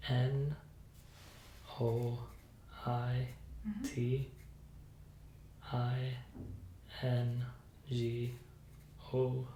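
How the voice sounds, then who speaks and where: Woman, very deep voice, flat intonation, soft-spoken patient roleplay, brief low-key answers, roomy recording. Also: very slow, long pauses. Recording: roomy sound with faint hiss.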